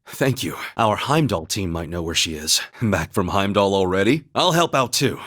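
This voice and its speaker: An actor playing a calm, earnest young warrior in a video game, humble and loyal, with a measured, formal way of speaking. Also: male voice, deep voice. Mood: solemn, earnest. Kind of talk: scripted video game voice acting, battle cries and story dialogue.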